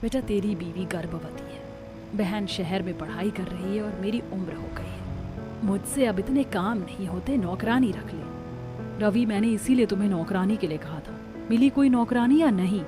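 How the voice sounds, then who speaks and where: A woman voicing the family characters of a cartoon story with expressive household dialogue. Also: medium pitch, moderate pace. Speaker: voice actor for several characters. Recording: voice over clear background music.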